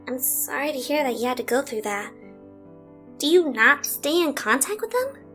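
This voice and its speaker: A woman voicing a friendly, sassy young draconequus girl who is eager to play, in an animated drama. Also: high voice, normal speed, music in the background. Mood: kind, eager. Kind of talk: animated audio drama acting.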